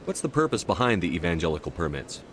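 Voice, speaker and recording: An actor playing a resolute military commander, the hero of a video game, direct and firm. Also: male voice, deep voice, sing-song, fast, noisy background. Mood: calm, inquiring. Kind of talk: video game character acting. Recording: background music under the dialogue.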